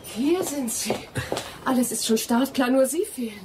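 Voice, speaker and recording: An actress playing a spirited, warm and teasing young English lady traveller in a German radio drama. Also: high voice, lively intonation, normal speed, background noise. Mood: teasing, eager.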